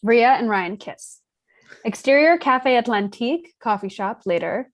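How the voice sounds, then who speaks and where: A woman's voice: on the high side, expressive, measured pace. A woman narrating the stage directions of a script at a table read.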